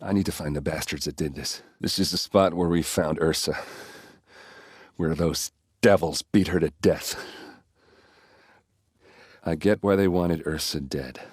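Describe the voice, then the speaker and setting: An actor playing a cocky, blunt, hard-drinking warrior captain in a video game, full of boastful swagger. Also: male voice, very deep voice, a little slow, pauses often, husky, no echo, studio quality, heavily acted. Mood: grim, grieving.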